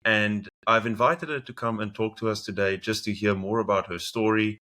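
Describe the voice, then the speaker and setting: A man's voice: on the low side, steady tone, normal speed, sharp tone. A man with a South African accent hosting an interview and encouraging his guest, with small restarts. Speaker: podcast host.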